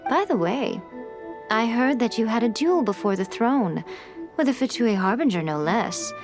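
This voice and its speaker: Game priestess: elegant and self-assured, sly polish, slightly high register, clear music bed. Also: female voice, animated, moderate pace. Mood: playful, amused. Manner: poised, playful, refined. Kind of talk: video game cutscene acting and narration. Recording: music behind the voice.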